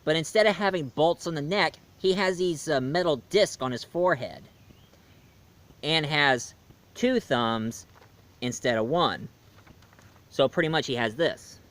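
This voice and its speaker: A man's voice: a little high, a little slow, pauses often, fairly bright tone. A man reviewing a movie in a casual, rambling way, with fillers and restarts. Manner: rambling, loose, with fillers and self-corrections.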